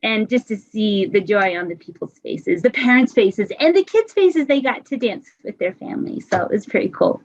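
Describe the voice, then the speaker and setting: A woman teacher telling a lively story on a video call, often correcting herself as she goes. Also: mid-range voice, moderate pace, hardly any pauses. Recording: dull lo-fi video call audio.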